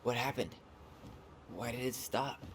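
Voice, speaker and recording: A man's voice: mid-range voice, unhurried, natural voice. Man acting an earnest young man, noisy audio. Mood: shaken, pressing. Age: young adult. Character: earnest, insistent young man haunted by the past. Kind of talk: naturalistic video game cutscene acting.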